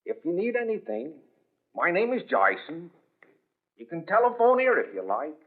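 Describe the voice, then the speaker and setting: An actor playing a sly, sinister rustic innkeeper in a radio horror drama, with gravelly, husky, folksy, knowingly mocking speech. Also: male voice, high voice, wildly swooping pitch, moderate pace, full character voice. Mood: helpful, sly. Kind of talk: old-time radio horror drama acting. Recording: dull old radio recording, long reverb.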